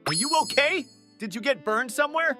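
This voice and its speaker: High, bright, earnest young butler voiced by a man. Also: big pitch swings, fairly quick, soft background music, heavily acted. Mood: flustered. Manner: earnest, easily flustered, polite. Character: earnest, flustered young male student butler devoted to the heiress. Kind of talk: anime-style romantic comedy dub with first-person narration.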